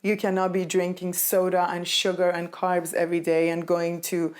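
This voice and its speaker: Casting note: woman host with a Persian accent, lower voice, chatty and laughing, casual talk. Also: completely flat delivery. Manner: chatty, laughs often, quick asides. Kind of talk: casual chat.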